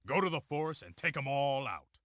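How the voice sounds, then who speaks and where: A man's voice: mid-range voice, animated, brisk, fairly bright tone, a little hiss or hum, lightly acted. A man voicing a wry, tough commander in a video game, giving orders in a sardonic way. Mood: sardonic briefing. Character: wry, tough male commander handing out missions. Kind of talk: video game cutscene acting.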